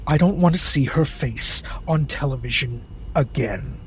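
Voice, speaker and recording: A man playing a cold, powerful boss in a cinematic audio drama, clipped, deliberate and quietly menacing. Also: medium pitch, a little hiss or hum, close to an everyday voice, rough recording. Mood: cold, commanding. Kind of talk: cinematic radio drama acting.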